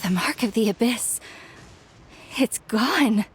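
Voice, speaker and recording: A woman's voice: a little high, expressive, takes it slowly, a little hiss or hum. A woman playing a proud, blunt and teasing young female fighter in an anime game. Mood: relieved.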